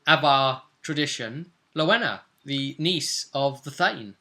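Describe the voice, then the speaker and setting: A man with a bright, forward voice acts as game master, chatting through a tabletop role-play story. He speaks haltingly, circling back to repeat phrases and stress his points. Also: medium pitch, expressive. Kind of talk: casual recap of a tabletop role-play session.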